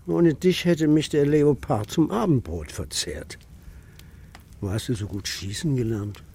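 An older man playing a gruff old herdsman and stubborn grandfather in a German children's radio play, with a gravelly voice and halting speech. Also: medium pitch, dark tone. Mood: grateful wonder.

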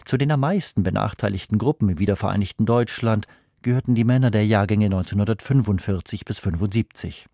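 A man, a book critic, reading his scripted radio review in a steady, analytical way. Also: on the low side, fast talker, dry recording, clean studio sound.

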